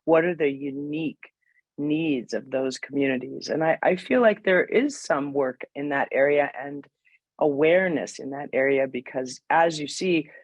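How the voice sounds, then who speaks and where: A former clinician, a woman with a hoarse voice, speaking in a measured, agreeable way in an online meeting. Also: low-pitched, dry recording, highs cut off. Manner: measured, agreeable, with many fillers. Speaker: former clinician on a global health team. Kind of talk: video call meeting discussion. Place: home room indoors.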